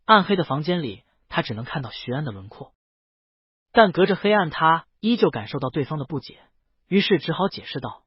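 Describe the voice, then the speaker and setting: A man's voice: on the high side, lively intonation, brisk, husky, no echo, muffled recording. A man narrating a scripted romance novel as an audiobook, reading close to the mic in a dry recording. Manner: reads character dialogue within the narration.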